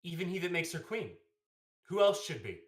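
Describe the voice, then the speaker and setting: Young actor as scheming king, mid-pitched, very animated intonation, persuasive, proud, emphatic Shakespearean verse, radio play. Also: normal speed, lightly acted. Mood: sly wooing. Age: young adult. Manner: emphatic formal verse delivery. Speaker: student actor.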